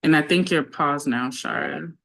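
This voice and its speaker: A woman's voice: deep voice, moderate pace, creaky. A woman hosting a casual live-stream interview over a video call, chatty and talking through connection problems aloud. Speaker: livestream host.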